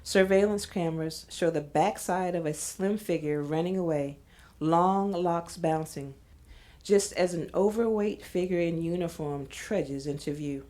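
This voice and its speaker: A woman's voice: lowish voice, measured pace, dark tone, faint music behind. A woman narrating an audio drama, reading screenplay stage directions in a scripted style.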